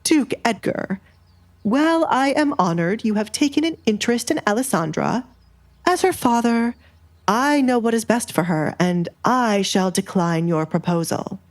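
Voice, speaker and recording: A woman's voice: fairly high pitch, animated, a little slow, voice pushed up. Woman voicing a stiff, formal baron father. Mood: stiff politeness. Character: formal, evasive middle-aged baron father. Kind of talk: audiobook character voice. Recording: music bed behind voice. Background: background music.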